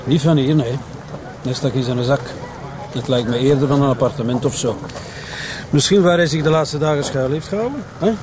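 An actor playing a sardonic, grumbling colleague of a police commissioner, with a Flemish accent, in an audio drama. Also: male voice, medium pitch, moderate pace, noisy background, lightly acted. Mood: dry, grumbling.

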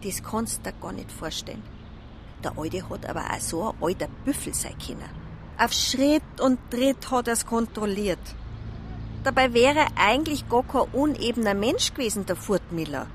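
An actress playing a gossipy, plain-spoken village newsagent in a radio drama, speaking in a folksy Bavarian accent. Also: female voice, mid-range voice, a little hiss or hum, lightly acted. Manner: chatty, folksy. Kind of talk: naturalistic radio drama dialogue.

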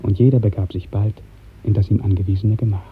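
A man narrating a literary radio drama in 1950s German style, with measured, lyrical storytelling. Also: fairly low pitch, fairly even intonation, background noise. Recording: muffled, narrow-band 1950s radio recording.

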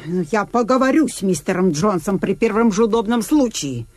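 An actress playing a brisk, indomitable middle-aged politician's wife in a Soviet radio drama, with a commanding, motherly manner. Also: medium pitch, lively intonation, darkish tone, dry recording, close to an everyday voice. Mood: indignant. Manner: commanding, halting phrases in scolding, motherly fussing.

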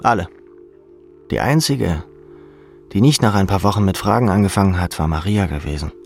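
Very low, creaky male narrator over music. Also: normal speed. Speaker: audiobook narrator. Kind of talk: dramatic scripted literary narration in second person.

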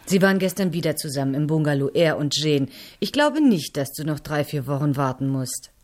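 An actress playing a keen niece and accomplice in a German radio drama, reporting in a pointed way. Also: female voice, low-pitched, lively intonation, fairly quick, a little mellow. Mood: eager, conspiratorial. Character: keen younger niece, eager accomplice in intrigue.

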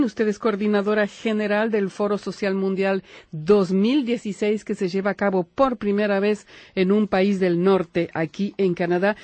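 A woman's voice: medium pitch, a little mellow, no echo. Polite woman radio interviewer. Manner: polite, courteous.

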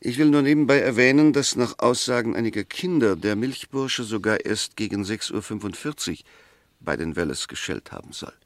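An actor playing a methodical, argumentative defence advocate in a 1960s radio drama, deliberate and emphatic. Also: male voice, lowish voice, lively intonation, dry recording, natural voice. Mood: insistent, reasoning. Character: argumentative, methodical male defence advocate dissecting a murder case.

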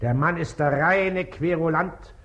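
An actor playing a pompous, self-important baron and district official in a radio comedy, officious and condescending. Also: male voice, on the high side, measured pace, a bit bright, muffled recording. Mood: irritated. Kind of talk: stage comedy acting in radio play.

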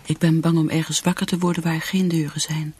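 An actress playing a desperate, defensive middle-aged alcoholic wife in a Dutch radio play, speaking haltingly and often dropping to a whisper. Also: female voice, low-pitched, little pitch movement, a little mellow, a little hiss or hum, natural voice. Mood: anguished, confiding. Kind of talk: Dutch radio play acting with inner monologue.

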